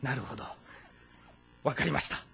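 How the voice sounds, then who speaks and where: An actor playing an earnest young general in a historical game, reporting in a respectful, orderly way. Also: male voice, medium pitch, lively intonation, normal speed, gravelly, bright, forceful tone, slight background noise. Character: earnest young general, warlord's son proposing tactics.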